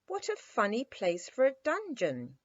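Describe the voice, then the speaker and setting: A woman's voice: on the high side, huge pitch swings, unhurried, no room sound, muffled recording, pitched above the performer's own voice, heavily acted. A woman voicing a curious, cheeky little girl who questions and exclaims, in a children's storybook reading. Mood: surprised.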